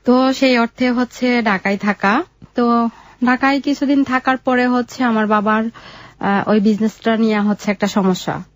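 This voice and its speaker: A young woman with a Bangladeshi accent telling her life story in an interview, in a halting, colloquial way. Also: a little high, steady tone, no echo.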